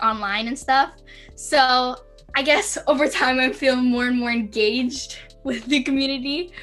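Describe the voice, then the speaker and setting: A woman's voice: a little high, a bit bright. A young woman chatting casually as an interview guest, with youthful, tentative phrasing full of "like" and "I think". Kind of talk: casual chat.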